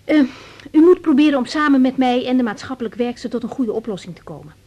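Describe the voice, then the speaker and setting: Casting: young woman family doctor, lightly high voice, reasonable and patient, slightly hesitant, radio play. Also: animated, quick pace, dark tone, highs cut off. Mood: firm, reasoning. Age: young adult. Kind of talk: 1980s Dutch radio drama acting.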